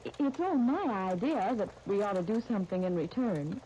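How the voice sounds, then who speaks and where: An actress playing an earnest, tactful young dress designer in a 1930s radio soap opera, speaking in a polite, gently persuasive way. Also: female voice, mid-range voice, very animated, moderate pace, lightly acted. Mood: conciliatory, persuading. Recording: muffled, hissy 1939 radio broadcast recording.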